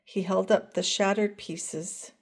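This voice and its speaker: An older woman reading a picture book aloud to children, gently asking them questions along the way. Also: mid-range voice, fairly even intonation, slow. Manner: gentle, asks listeners questions. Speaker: storyteller grandmother.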